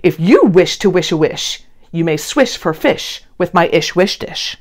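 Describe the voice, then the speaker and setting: A woman, around her thirties, talking and reading to young children in an expressive, emphatic way. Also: on the low side, measured pace. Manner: animated, emphatic, addressing children directly. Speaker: children's read-along host. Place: indoor room, seated before a plain blue wall.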